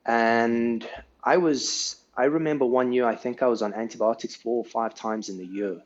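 A man's voice: medium pitch, steady tone, moderate pace, muffled recording, slight background noise. A South African man, a podcast guest, telling his life story in a casual, earnest way and often ending sentences with "right?".

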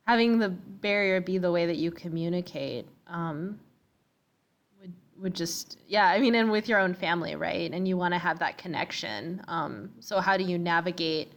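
A woman interviewer who affirms what she hears and asks open follow-up questions. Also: on the low side.

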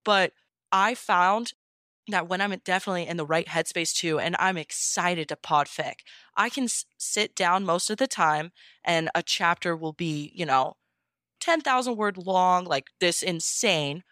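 Woman, lower-pitched, smooth clear voice, dry recording, casual interview chat, enthusiastic and encouraging. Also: unhurried, sharp tone, no room sound. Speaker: podfic narrator being interviewed.

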